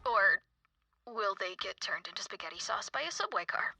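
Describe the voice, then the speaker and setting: A woman voicing an excitable young true-crime podcaster in a video game, with a raspy voice and theatrical, breathless delivery. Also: mid-range voice, normal speed, over music. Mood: dramatic, ominous. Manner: theatrical, breathless dramatic narration.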